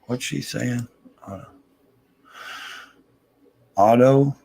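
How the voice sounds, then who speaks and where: Male host, drawl, relaxed rambling chat. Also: medium pitch, drawn-out words, gravelly, breathy edge, poor audio. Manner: laid-back rambling with laughs. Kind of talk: casual chat.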